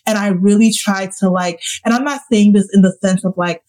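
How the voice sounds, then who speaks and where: Late-20s woman podcast co-host, earnest and reflective, mid-pitched, casual chat. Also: steady tone, brisk, darkish tone. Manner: earnest, reflective, talks in long run-on thoughts.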